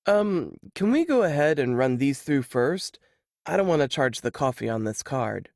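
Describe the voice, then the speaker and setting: A man's voice: medium pitch, big pitch swings, moderate pace, darkish tone, no echo, clean studio sound. A woman voicing a shy, nervous young male grad student in an audiobook story, hesitant and polite. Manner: hesitant, embarrassed, apologetic. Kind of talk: audiobook character dialogue.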